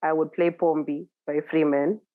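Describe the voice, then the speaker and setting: Woman, Zimbabwean accent, very low pitch, dry dull sound, thoughtful, casual interview guest. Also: fairly even intonation, moderate pace, no room sound. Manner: thoughtful, searching for words, laughs easily.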